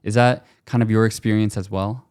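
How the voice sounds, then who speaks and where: A man's voice: fairly low pitch, steady tone, fairly quick, darkish tone, clean tone, no room sound. A young adult man hosting a podcast, asking questions and delivering polished scripted intros. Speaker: podcast host. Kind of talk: interview host with scripted ad read. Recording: dry close mic with faint music.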